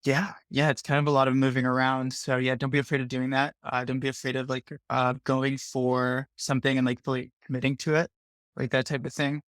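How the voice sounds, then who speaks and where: A man's voice: medium pitch, flat delivery, fairly quick, a bit bright, no room sound, clean studio sound. A young man from Colombia, an industrial designer, chatting casually and rambling with many "like"s. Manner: rambling, heavy use of "like". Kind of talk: casual video chat.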